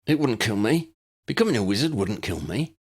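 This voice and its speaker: A man performs a distressed young wizard in an audiobook, pushed up high in pitch. He protests in agitation, aghast and insistent. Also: very animated, brisk, breathy edge, exaggerated voice. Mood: aghast, protesting.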